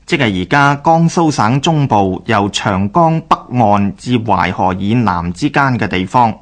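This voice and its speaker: A male narrator presents history for radio in Hong Kong Cantonese. His voice sits at a middle pitch, and he explains things formally, in measured scripted sentences.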